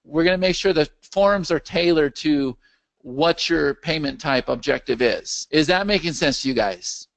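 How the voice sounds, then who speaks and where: A man with a slightly high, gravelly voice leads a live video call over his computer microphone, walking users through his software. He is losing his voice after a long day, and he often stops to ask whether everyone is following. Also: normal speed. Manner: animated, checks in with the audience often. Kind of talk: live webinar Q&A with screen share.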